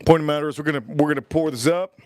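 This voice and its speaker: A man hosting a casual livestream from Florida, animated as he shouts out viewers, and a little sick. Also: fairly high pitch, racing, no echo. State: getting sick. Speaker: livestream host.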